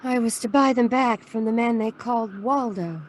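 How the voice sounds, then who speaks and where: A woman's voice: fairly high pitch, normal speed, natural voice. An actress playing a mysterious, wistful married woman in a noir radio drama, speaking in an earnest, restrained way. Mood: guarded, urgent. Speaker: actor in an audio drama.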